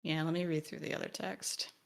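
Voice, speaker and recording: A woman's voice: low voice, little pitch movement, brisk, gravelly. A woman co-hosting a podcast, talking through a video game in an analytical, wordy way as she thinks aloud. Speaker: podcast co-host. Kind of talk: discussion of a video game, partly reading text aloud.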